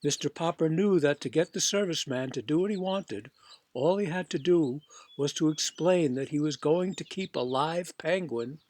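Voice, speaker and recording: A male reader narrates a children's story. His voice is pitched a bit above the usual for a man, and he reads the scripted text expressively. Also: darkish tone. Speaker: audiobook reader.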